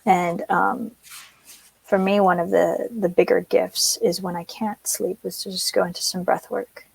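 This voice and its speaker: A woman in casual conversation on a video call, talking in a chatty, animated way. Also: fairly low pitch, measured pace, vocal fry, breathy edge, low-quality recording. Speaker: co-host.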